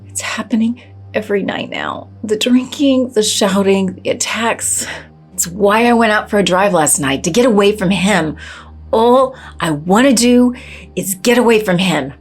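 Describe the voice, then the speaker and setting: A woman's voice: mid-range voice, measured pace, breathy edge, faint music behind. An actress playing a fearful, shaken woman, narrating and acting unsteadily in an audio drama. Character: fearful, shaken wife and schoolteacher.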